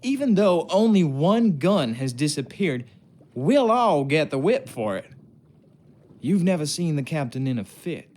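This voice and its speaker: A sardonic, bossy old-time sailor. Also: male voice, a little high, big pitch swings, measured pace, darkish tone. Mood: bitter, scornful. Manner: taunting, colloquial, condescending. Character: gruff, sardonic adult male ship's craftsman and sailor, bossy and wary. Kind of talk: video game character acting.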